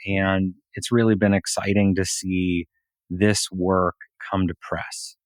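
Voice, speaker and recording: Low-voiced man hosting an interview podcast. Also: little pitch movement, takes it slowly, no echo. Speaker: podcast host.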